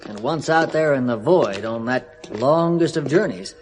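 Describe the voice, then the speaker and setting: An actor playing a trained, emotionally detached astronaut in a 1970s radio drama, speaking flat and matter-of-fact over faint music. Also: male voice, mid-range voice, measured pace, lightly acted.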